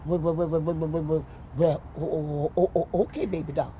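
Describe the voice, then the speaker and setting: An aging king from a folk tale who stammers and sweet-talks, dropping his voice low and soft to plead with his wife. A woman acts him out, with a faint hum of road noise behind. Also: steady tone, fairly quick, muffled recording, natural voice. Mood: flustered. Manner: stammering, drawling. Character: aging male African king, hesitant, sweet-talking.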